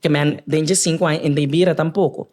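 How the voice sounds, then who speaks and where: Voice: mid-range voice, steady tone, darkish tone. Curaçaoan podcast guest, hesitant delivery, mid-range voice. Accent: Curaçao Papiamentu. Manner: halting, searching for words. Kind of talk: casual podcast conversation.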